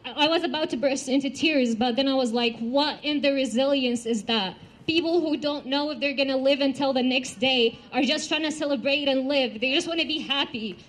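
High-voiced woman giving an outdoor rally speech. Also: moderate pace. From Gaza, Palestine. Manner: earnest, personal storytelling with fillers and restarts. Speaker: rally speaker.

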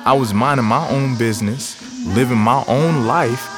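A man's voice: medium pitch, normal speed, lightly acted. A man speaking a radio theater monologue as a young man guarded about falling in love, in an intimate, reflective spoken-word style. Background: loud music.

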